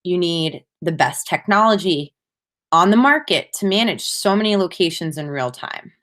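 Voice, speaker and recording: A woman delivering a prepared marketing presentation as a conference speaker, in a measured, scripted-sounding way with emphatic phrasing. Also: lowish voice, animated, fairly bright tone.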